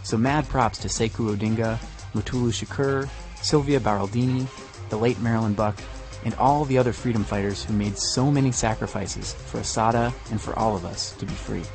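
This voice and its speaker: A man's voice: on the low side, brisk, gravelly. Man reading news over music. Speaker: podcast co-host. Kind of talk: scripted news narration.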